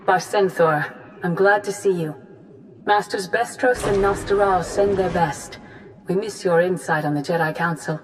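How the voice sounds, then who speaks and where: An actress playing a grave, authoritative female Jedi grandmaster in a video game, speaking formally and deliberately. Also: fairly low pitch, noisy background, natural voice. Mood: warm greeting. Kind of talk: scripted video game dialogue.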